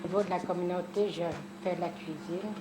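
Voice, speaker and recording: A woman's voice: fairly low pitch, steady tone, fairly quick. A woman, a nun who cooks and keeps house, introducing herself in an interview. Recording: echoey room, clear noise.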